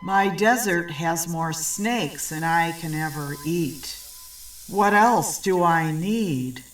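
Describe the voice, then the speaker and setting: An actress playing a weary old desert sorceress in an audio horror drama, speaking in a terse, deliberate way. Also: female voice, lowish voice, distant mic, soft background music, rough recording. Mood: cryptic, calm. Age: older. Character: weary old desert sorceress crone who eats snakes.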